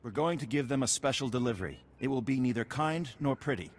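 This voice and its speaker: A man's voice: medium pitch, lively intonation, quick pace, natural voice. An actor playing a grim male soldier in a video game war briefing. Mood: grim, dry. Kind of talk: video game cutscene acting.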